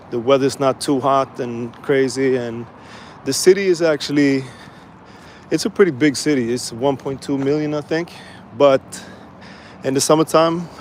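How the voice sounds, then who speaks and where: A man from Sweden casually chatting to viewers while walking outdoors by the water, relaxed and rambling with many fillers. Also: medium pitch. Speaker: vlogger. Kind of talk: casual live-stream walking vlog. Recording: faint outdoor street noise.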